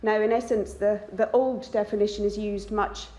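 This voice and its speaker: A woman's voice: medium pitch, little pitch movement, moderate pace, darkish tone. A woman, a nature conservationist, giving a conference talk in a chatty, self-deprecating way. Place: lecture hall. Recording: roomy hall sound.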